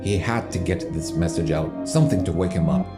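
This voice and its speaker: A man's voice: low voice, a little fast, close to an everyday voice. A man reading scripted narration for a tense science-fiction audio drama. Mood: narrating. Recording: loud music behind the voice. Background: loud dramatic music.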